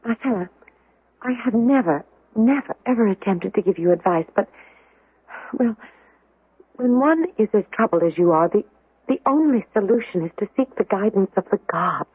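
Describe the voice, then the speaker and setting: An actress playing an excitable, incredulous Roman woman friend in an exclamatory, theatrical old-time radio drama style. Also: medium pitch, normal speed, pauses often, breathy edge, no room sound. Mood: earnest. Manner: exclamatory, theatrical, stammering when flustered. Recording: muffled vintage 1970s radio broadcast.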